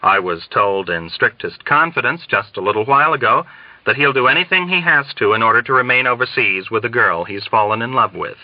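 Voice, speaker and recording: An earnest mess officer from a novel reading, played by a man, pleads deferentially for his own interests. His pitch moves freely, and the recording is dry and slightly dull. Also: expressive, fairly quick, no room sound, close to an everyday voice. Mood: helpful. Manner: deferential, plaintive, persevering. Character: simple, earnest, self-serving male military mess officer. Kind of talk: dramatized audiobook reading.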